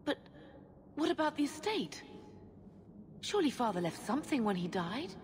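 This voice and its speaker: Plaintive mother, highish and expressive. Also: normal speed. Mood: distressed. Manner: plaintive, earnest. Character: anxious, grieving older noblewoman, a weary mother. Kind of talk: video game voice acting. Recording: long reverb with faint music.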